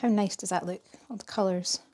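Mellow-voiced woman chatting in her kitchen. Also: medium pitch, steady tone, brisk. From Scotland. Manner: chatty, explanatory, with fillers. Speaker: vlogger. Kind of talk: casual narration while cooking. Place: home kitchen by a window.

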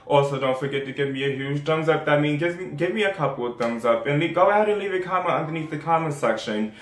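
A man's voice: a little high, fast, reverberant. A young man with a New York accent, rambling through a casual skincare how-to with lots of fillers. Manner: rambling, chatty, many fillers and restarts. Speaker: skincare vlogger.

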